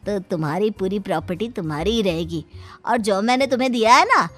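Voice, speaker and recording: A woman's voice: medium pitch, lively intonation, moderate pace, fairly bright tone. A woman voicing a stern, sarcastic father of a bride who bargains and scolds in a moral story. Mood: shrewd, threatening. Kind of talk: acted Hindi moral storybook tale.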